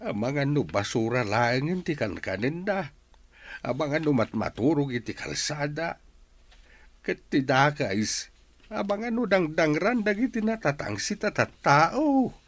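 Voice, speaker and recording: A middle-aged man with an Ilocano accent playing a resentful, grieving father in a radio drama, his voice husky. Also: on the high side, animated, measured pace, dry recording. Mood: anguished.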